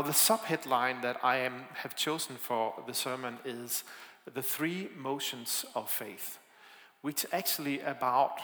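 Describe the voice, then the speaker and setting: A man's voice: medium pitch, sharp tone. A man from Denmark with a Danish accent, preaching a sermon with hesitant fillers and light asides. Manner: hesitant, with frequent fillers and self-deprecating asides. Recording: echoey hall with long reverb.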